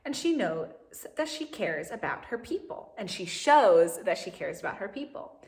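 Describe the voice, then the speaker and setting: A woman voicing a kindly, proper royal governess in a children's storybook, measured and reassuring. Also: medium pitch, big pitch swings, gravelly, a little mellow.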